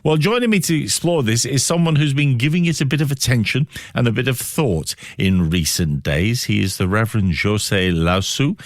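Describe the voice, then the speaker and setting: Guy hosting a radio interview, reflective questions, pitch moves around a lot, really dry studio sound. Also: expressive, normal speed, few pauses, no echo.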